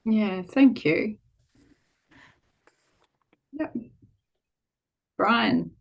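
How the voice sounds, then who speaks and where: A woman co-hosting an online webinar over a video call, her voice a little croaky from a head cold, speaking informally with frequent ums. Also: medium pitch, drawn-out words. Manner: warm, frequent ums, relaxed informal phrasing. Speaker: webinar co-host.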